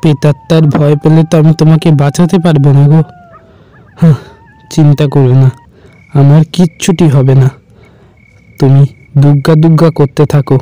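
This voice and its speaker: A man voicing a poor, desperate village fisherman, a devoted husband who speaks earnestly and haltingly in a horror cartoon. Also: a little high, warm tone, no room sound, natural voice. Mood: reassuring, stubborn.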